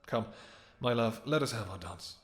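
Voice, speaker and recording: A man's voice: mid-range voice, normal speed, natural voice. A man playing a possessive, courtly vampire king in a romantic fantasy audio roleplay, speaking silky endearments with mock-polite menace. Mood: possessive tenderness.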